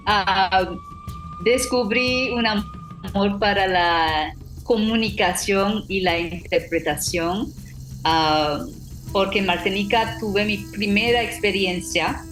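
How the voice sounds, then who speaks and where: Slow, unhurried Trinidadian woman with roomy echo. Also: medium pitch, a bit bright, faint music behind. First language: English. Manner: halting, frequent restarts and fillers, occasional light laughs. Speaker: professional interpreter, guest. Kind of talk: personal storytelling talk.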